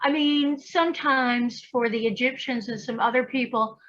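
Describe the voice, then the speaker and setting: A woman's voice: a little high, steady tone, moderate pace, a bit bright, highs cut off. A woman guest in a casual conversation, talking animatedly and acting out imagined voices as she makes her points. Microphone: video call.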